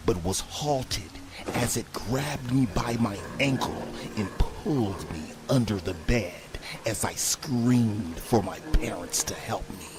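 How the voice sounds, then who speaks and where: A man reading a scripted first-person horror story in a hushed, often breathy delivery. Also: lowish voice, background noise. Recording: prominent background music under voice. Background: music.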